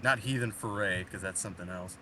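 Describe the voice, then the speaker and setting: A man's voice: low-pitched, a little fast, bright, forceful tone, slight background noise, rough recording. A man co-hosting a casual music podcast, laid-back and sweary, often trailing off at the ends of his sentences. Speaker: podcast co-host.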